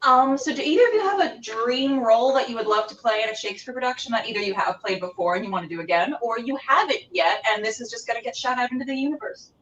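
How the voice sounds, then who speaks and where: A woman interviewer with a fairly high voice, chatting informally and effusively. She sounds a little far from the microphone, with some faint noise around her. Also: lively intonation, fast talker, few pauses, a bit bright, dull audio. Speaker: interviewer and show host. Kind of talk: casual interview chat. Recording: distant mic with background noise.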